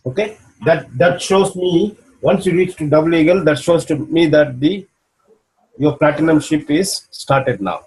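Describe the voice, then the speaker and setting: A man's voice: on the high side, a little slow, darkish tone, poor audio. A man coaching someone over a video call, explaining steps patiently and checking in with "okay?" after each point. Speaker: business mentor.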